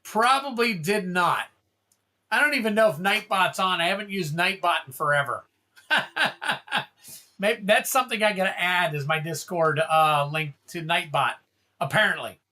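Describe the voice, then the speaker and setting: Bright-voiced casual livestream host. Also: mid-range voice, animated, measured pace. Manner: rambling, calls out card names, thanks viewers. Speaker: livestream host opening card packs.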